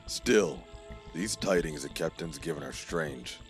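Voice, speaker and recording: A man playing a cold, commanding general of dark knights in an audio fantasy drama. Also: very deep voice, unhurried, faint music behind. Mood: scornful.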